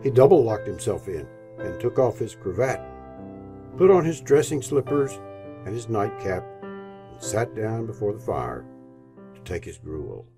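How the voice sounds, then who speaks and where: A man's voice: fairly low pitch, big pitch swings, measured pace, long pauses. Male storyteller over piano music. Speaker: narrator. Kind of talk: scripted storybook narration for radio drama.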